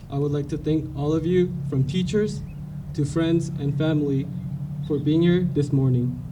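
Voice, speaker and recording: Young Korean-accented man, earnest graduation speaker, a bit high, dark tone, distant mic. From South Korea. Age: young adult. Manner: earnest, halting with frequent restarts. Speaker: salutatorian cadet. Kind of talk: graduation speech. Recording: distant mic, light noise.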